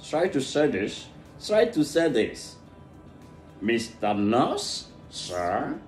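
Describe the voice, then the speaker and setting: A man from Nigeria with an Igbo accent, a native Igbo speaker, reads a tongue-twister storybook aloud, haltingly, stumbling over and repeating words. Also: medium pitch, wildly swooping pitch. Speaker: English pronunciation learner. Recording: echoey room, music behind.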